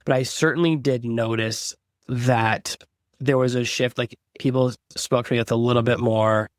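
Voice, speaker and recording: A man's voice: medium pitch, fairly even intonation, normal speed, no echo, studio quality. Chatty man in his forties. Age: 40s. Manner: casual, chatty, joking self-deprecation. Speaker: podcast host. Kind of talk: casual interview chat.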